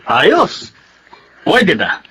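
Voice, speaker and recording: A man's voice: high voice, huge pitch swings, very slow, bright, forceful tone, breathy edge, full character voice. An actor playing a joking, affectionate middle-aged father in a Filipino radio drama.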